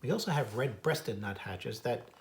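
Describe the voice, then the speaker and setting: A man's voice: medium pitch, expressive, moderate pace, slight background noise. An American man reading a children's book aloud as its author and naturalist, adding informal asides about wildlife. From United States. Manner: imitates bird calls in a singsong.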